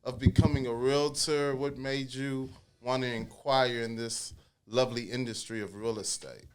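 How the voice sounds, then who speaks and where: A man's voice: mid-range voice, fairly even intonation, normal speed, smooth. A man hosting a casual podcast, upbeat and talking straight to his listeners. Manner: upbeat, addresses audience directly. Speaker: podcast host, mortgage lender.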